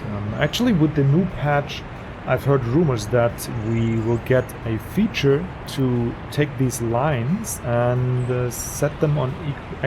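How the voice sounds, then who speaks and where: A man who is not a native English speaker, casually commenting on a city-building game as he plays, easygoing and jokey, thinking out loud. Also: mid-range voice, background noise. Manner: easygoing, jokey, thinks aloud while playing. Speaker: let's play host.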